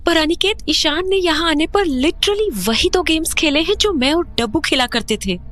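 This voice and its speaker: A woman's voice: very high-pitched, expressive, moderate pace, a bit bright. A woman playing an anxious, fearful mother in a horror audio drama, speaking with urgent agitation. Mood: uneasy, insistent. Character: anxious, fearful mother haunted by lost brother.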